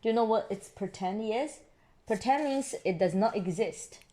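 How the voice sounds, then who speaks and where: A woman's voice: medium pitch, animated, moderate pace, mellow. A woman reading picture books aloud for young children and explaining things simply. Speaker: storybook reader.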